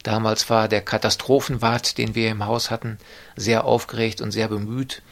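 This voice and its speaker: A man giving measured, formal interview answers with frequent hesitations. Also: fairly low pitch, little pitch movement, gravelly, a bit bright. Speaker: interviewee describing the student residence.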